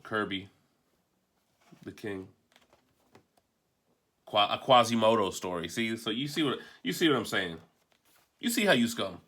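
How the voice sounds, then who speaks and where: A man's voice: fairly low pitch, lively intonation, a little slow, long pauses, a bit bright. A man giving an offhand, rambling, casual video review as a comic book collector, unscripted and mildly profane.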